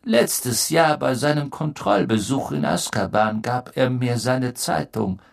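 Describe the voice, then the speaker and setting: Man acting an intense, haunted fugitive, gravelly. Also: medium pitch, measured pace. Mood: intense recollection. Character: haunted, intense male escaped prisoner.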